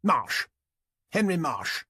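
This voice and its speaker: A man's voice: fairly high pitch, big pitch swings, slow pace, pushed and bright, slightly rough, no room sound, clean studio sound, pitched above the performer's own voice, exaggerated voice. A man voicing an indignant, pompous middle-aged neighbour in an audiobook, with a clipped British accent. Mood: haughty. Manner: pompous, exasperated.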